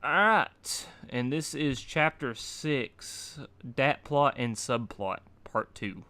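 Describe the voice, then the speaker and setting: A man's voice: medium pitch, lively intonation, slow pace, pushed and bright. A man reading fan fiction aloud in an informal, amateur storytelling style, occasionally stumbling over words. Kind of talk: animated amateur story narration.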